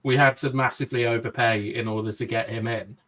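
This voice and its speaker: A man's voice: medium pitch, steady tone, fairly quick, fairly bright tone. A man co-hosting a casual football chat on a video call, reasoning through points with "like" and "I think". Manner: reasoned, easygoing, hedges with "like" and "I think". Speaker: podcast co-host.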